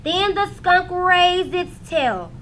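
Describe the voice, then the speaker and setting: Woman storyteller for young children, very lively intonation, high voice, some background noise. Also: slow pace. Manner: expressive, dramatic emphasis for young listeners. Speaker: teacher reading aloud to students. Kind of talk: animated children's storybook narration.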